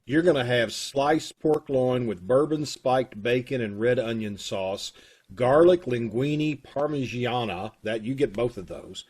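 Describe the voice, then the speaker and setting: A man's voice: mid-range voice, measured pace, a little mellow, husky. A man with a Kentucky accent, leading a theater troupe and making casual, rambling announcements over a video call. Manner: folksy, rambling, with fillers.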